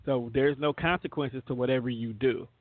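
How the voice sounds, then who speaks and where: A man's voice: medium pitch, steady tone, normal speed, no room sound. A man with an American accent, chatting casually and slangily as a teasing radio show host. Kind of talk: casual chat. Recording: narrow phone-like line, dry.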